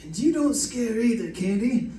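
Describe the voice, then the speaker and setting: A suspicious police detective acted by a man in a vintage radio mystery. His voice is notably high yet mellow, pressing and dogged, caught from afar in a roomy space. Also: lively intonation, moderate pace, slight background noise. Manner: pressing, questioning. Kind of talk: 1940s radio detective acting. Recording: roomy, distant mic.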